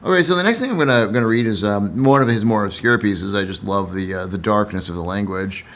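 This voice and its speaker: A man's voice: fairly low pitch, quick pace, fairly bright tone. A man who reads and comments on poetry in a casual, reflective way, somewhat hesitant and repeating himself.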